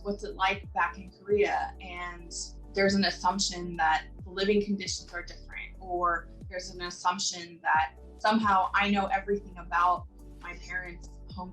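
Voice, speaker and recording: A woman speaks in a slightly low voice, earnest and measured, as she shares her own experience for a community video. The mic sits some distance from her, so she sounds a bit removed, and soft music plays underneath her. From Southern California. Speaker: community member sharing experiences. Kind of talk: personal testimony in a video.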